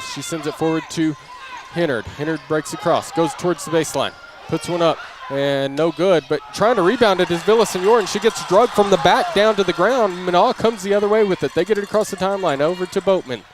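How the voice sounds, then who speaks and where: A man calling live basketball play-by-play in clipped running phrases, following each move as it happens. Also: on the high side. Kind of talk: live radio-style play-by-play commentary. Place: indoor basketball gym during a game. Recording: crowd and gym noise behind. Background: crowd, bouncing basketball.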